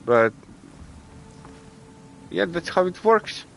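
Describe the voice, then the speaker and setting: A man from Latvia with a Latvian accent, streaming a video game and chatting casually with viewers, halting with many restarts and fillers. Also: sits high, sing-song, creaky, fairly bright tone, soft background music, low-quality recording. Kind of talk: casual gameplay chat with viewers. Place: home gaming setup.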